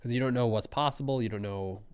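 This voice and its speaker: A young man giving relaxed, musing live commentary on a programming contest, often trailing off mid-thought. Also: medium pitch, quick pace, smooth.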